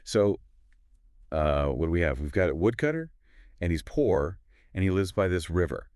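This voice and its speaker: A man co-hosting a casual discussion podcast, retelling a story in an animated way with easy fillers. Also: low voice, normal speed, no echo, clean studio sound. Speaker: podcast co-host.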